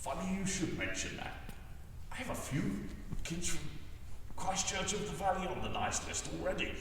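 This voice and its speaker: An echoey, distant recording of a man playing jolly old Santa Claus. His voice is high and excitable, and he rambles along fondly like a doting grandfather at a children's story time. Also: moderate pace, full character voice. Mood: musing, chatty. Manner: hesitant, chatty, grandfatherly rambling. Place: indoors on a video call. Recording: echoey roomy video call, faint noise.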